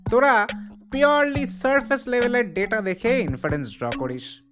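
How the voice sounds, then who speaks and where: A woman's voice: on the high side, normal speed, over music, natural voice. A woman playing a cocky, bespectacled young know-it-all who lectures pompously in an audio drama, mixing in English jargon. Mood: smug lecturing.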